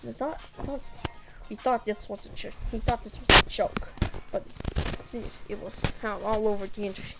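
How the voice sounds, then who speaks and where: A woman reading aloud and commenting casually in a halting, stumbling way. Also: mid-range voice, steady tone, creaky. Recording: heavy background noise.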